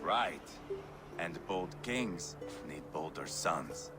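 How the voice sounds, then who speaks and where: An actor playing a bloodthirsty, mocking Viking warrior in a video game. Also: male voice, low-pitched, lively intonation, extremely slow, music in the background, full character voice. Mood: menacing. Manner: mocking, swaggering, savage. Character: bloodthirsty, taunting Viking warrior, a grown man. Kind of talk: dramatic video game acting.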